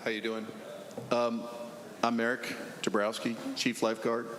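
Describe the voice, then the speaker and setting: A man of middling pitch speaks as a chief lifeguard, loose and relaxed with a few "um"s. His voice rings in a reverberant hall with faint noise behind. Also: measured pace. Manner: relaxed, casual, with fillers. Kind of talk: casual introduction at a meeting. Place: town council chamber.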